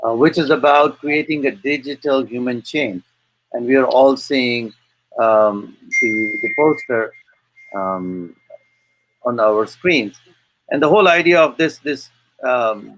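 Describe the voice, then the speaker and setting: A man hosting an online webinar and introducing guests, speaking in a hesitant, halting way with repeated words. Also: mid-range voice, fairly bright tone, no echo, rough recording. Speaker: webinar host.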